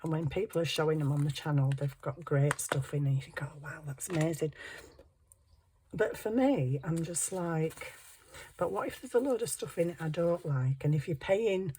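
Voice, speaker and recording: A hoarse middle-aged woman chatting casually to herself while crafting, muttering and poking fun at herself. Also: deep voice, moderate pace, dark tone, slight background noise. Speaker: craft channel host.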